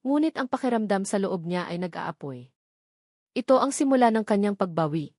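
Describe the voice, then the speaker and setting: A woman's voice: medium pitch, a little mellow, dry recording. Filipino woman narrating a story, dry close sound. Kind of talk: scripted dramatic story narration.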